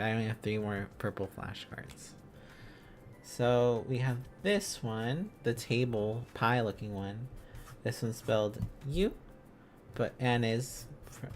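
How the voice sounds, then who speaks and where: A man hosting a casual livestream, thinking aloud as he studies, in a rambling, silly, exclaiming manner. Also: mid-range voice. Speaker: livestream host.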